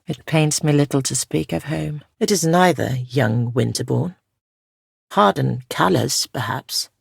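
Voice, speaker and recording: An ancient female guardian spirit of a frozen realm for a fantasy game. Her voice is soft and heavily creaky, with a husky grain. She speaks in solemn, measured, formal phrases, close and dry. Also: sits low, no room sound, heavily acted. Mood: wry, cool. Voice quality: soft, gravelly. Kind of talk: scripted fantasy game dialogue.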